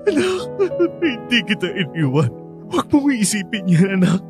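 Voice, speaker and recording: A man's voice: high voice, wildly swooping pitch, normal speed, music in the background. An actor playing a hardworking father back from abroad, tender with his child and bitter at his cheating wife. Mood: pleading. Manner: pleading then bitterly mocking. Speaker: Karding, the father. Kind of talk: radio drama acting.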